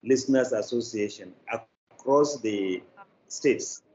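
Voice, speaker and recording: An older man with a Nigerian accent gives interview answers in a measured, deliberate, formal manner. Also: medium pitch, darkish tone. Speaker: guest, media company executive. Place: indoor room, video call. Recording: dull, noisy video call.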